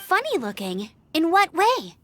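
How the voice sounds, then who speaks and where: An actress playing a confident, eager young female knight scout in a video game, brisk and determined. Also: extremely high pitch, huge pitch swings, fairly bright tone, a little hiss or hum, heavily acted. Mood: concerned. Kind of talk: animated video game voice acting.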